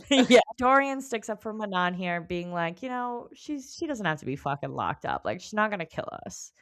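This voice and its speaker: A woman's voice: medium pitch, sing-song, normal speed, fairly bright tone. Giggly, sweary woman podcast co-host. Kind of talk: casual book club chat.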